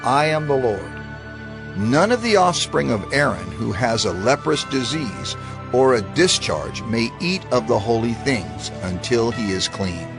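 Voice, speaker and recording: An actor playing a solemn, instructive reciter of divine law in a formal, measured dramatized scripture reading. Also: male voice, mid-range voice, expressive. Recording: music under the voice. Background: music.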